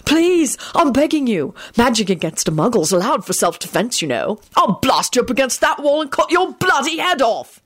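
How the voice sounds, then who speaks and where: A woman's voice: on the low side, expressive, brisk, few pauses, husky. A woman voicing a scornful, foul-mouthed young male prankster wizard in a story reading. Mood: scornful glee. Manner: scornful, mocking, taunting.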